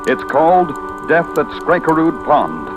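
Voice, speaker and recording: Lively, portentous male radio drama announcer. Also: fairly high pitch, a little slow. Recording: vintage radio recording, loud music behind.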